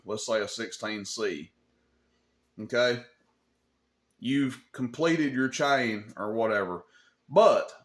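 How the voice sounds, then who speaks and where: A man hosting an informal hobby tutorial, explaining things in an opinionated, plain-spoken way and often checking in with a short questioning tag. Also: mid-range voice. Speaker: host of a CB radio advice channel.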